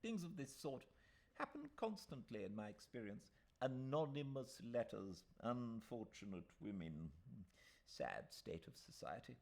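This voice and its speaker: High-pitched, pompous old English gentleman. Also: sing-song, measured pace, gravelly, exaggerated voice. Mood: confident, reassuring. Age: older. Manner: emphatic, formal, repeats himself pompously. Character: fussy, self-assured old English gentleman solicitor, genial and complacent. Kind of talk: audiobook character voice.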